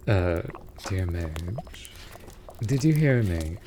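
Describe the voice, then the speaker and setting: A man's voice: low-pitched, mellow, full character voice. A man playing a wry, put-upon winged animal familiar in a fantasy audio roleplay, with teasing, dramatic, formal diction. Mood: gentle, coaxing. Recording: clear background noise.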